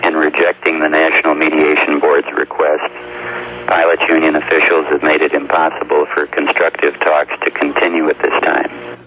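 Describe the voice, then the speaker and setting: A man's voice: extremely low pitch, level tone, normal speed. A man, an airline executive, delivering a formal prepared statement. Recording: muffled, noisy news actuality.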